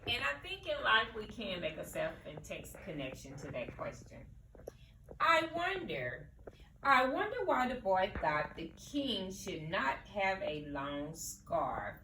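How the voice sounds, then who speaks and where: A woman's voice: medium pitch, big pitch swings, a little slow, sharp tone. A woman teacher leading a read-aloud lesson, posing questions to children and repeating them to prompt answers. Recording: echoey room, distant mic, faint noise.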